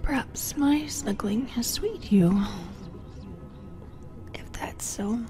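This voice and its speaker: A woman playing a possessive, teasing female sleep paralysis demon in a whispered ASMR roleplay, intimate and breathy, both menacing and affectionate. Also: a little high, slow, over music. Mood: teasing, soothing.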